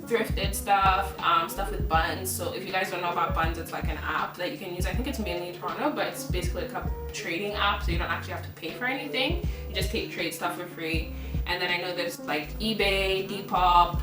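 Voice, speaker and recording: A young woman host from Ghana chats casually and sprinkles her talk with "like", her voice sitting a touch low. She sounds a little far from the mic in an echoey room, with music playing underneath. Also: a little fast. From Ghana, raised in Kenya and Uganda. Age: 20s. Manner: chatty, fills speech with "like". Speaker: channel host. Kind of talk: casual chat. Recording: roomy echo, distant mic, background music. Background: background music.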